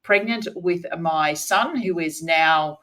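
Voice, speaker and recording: A woman's voice: fairly low pitch, takes it slowly, pushed and bright. A middle-aged woman with an Australian accent, chatting as a guest on an interview podcast, with fillers and self-corrections along the way. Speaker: guest, naturopath. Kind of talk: casual interview chat.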